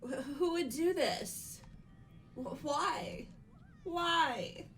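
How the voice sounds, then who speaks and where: A woman's voice: sits high, lively intonation, drawn-out words, a bit bright, soft background music, heavily acted. A woman voicing a loyal, emotional teenage girl in a fan game dub, pleading and stammering. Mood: distraught, pleading. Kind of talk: fan-dubbed visual novel acting.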